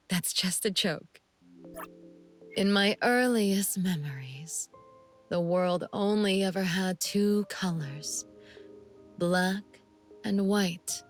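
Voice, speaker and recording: A woman playing a sly, menacing young female assassin in a video game, speaking in a teasing, deliberate manner. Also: on the low side. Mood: teasing menace, then wistful. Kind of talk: scripted game character monologue.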